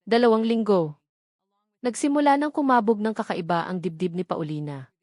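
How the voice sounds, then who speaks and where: A woman with a Filipino accent narrating a scripted dramatic story as an audiobook, in a deliberate, emphatic way with short dramatic phrases. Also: mid-range voice, a little mellow, dry recording, studio quality.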